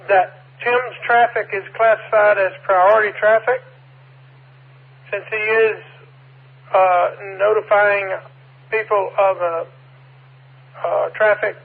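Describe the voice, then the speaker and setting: A hoarse man speaking formally and deliberately as a radio net manager, heard over two-way radio. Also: sits high. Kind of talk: radio check-in. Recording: narrowband two-way radio audio.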